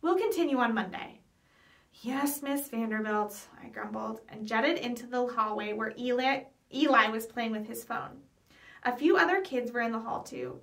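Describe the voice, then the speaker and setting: A woman's voice: a little high, fairly bright tone. A young adult woman reading a children's chapter book aloud expressively, with small stumbles over words. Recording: voice picked up from some distance.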